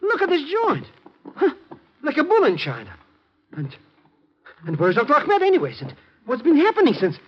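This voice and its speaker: High-pitched, excitable comic sidekick. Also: male voice, normal speed, pauses often, exaggerated voice. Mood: nervous. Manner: stammering, slangy, ungrammatical. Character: excitable, nervous, comic streetwise male sidekick. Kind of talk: 1950s radio drama acting. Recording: muffled, hissy vintage radio broadcast.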